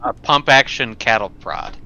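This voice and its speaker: A man's voice: mid-range voice, a little slow, bright, forceful tone, clean tone, muffled recording. A man chatting casually as a player in a tabletop game. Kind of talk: casual chat.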